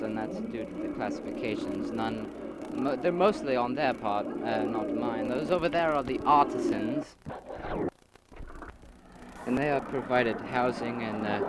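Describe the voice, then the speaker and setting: A man playing an earnest leader in an amateur philosophical audio drama, explaining his society formally and haltingly on a homemade nineties recording. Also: mid-range voice, heavy background noise, lightly acted. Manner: halting, explanatory, formal wording with many hesitations.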